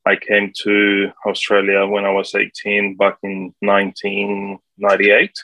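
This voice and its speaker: A man in his forties from Colombia, speaking English with a Colombian Spanish accent, answering interview questions in a halting way with many fillers. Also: lowish voice, completely flat delivery, sharp tone, no echo, rough recording. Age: 40s. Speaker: guest, property manager.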